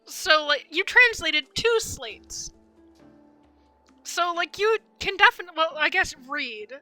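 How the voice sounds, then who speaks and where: A woman streaming a game, giving casual, rambling live commentary with animated fan reactions. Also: very high-pitched, measured pace, soft background music.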